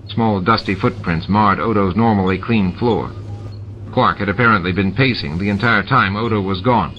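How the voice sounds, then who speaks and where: A man reading a science fiction novel aloud in a steady, measured way. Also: on the low side, a little hiss or hum. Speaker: amateur audiobook reader. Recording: dull lo-fi recording with music.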